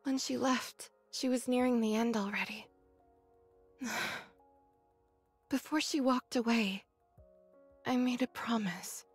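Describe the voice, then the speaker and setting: An actress playing an earnest, scholarly young android researcher in a video game, speaking in a measured, precise way. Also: female voice, on the high side, slightly rough. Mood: regretful, resolute. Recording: dry studio voice, faint game music.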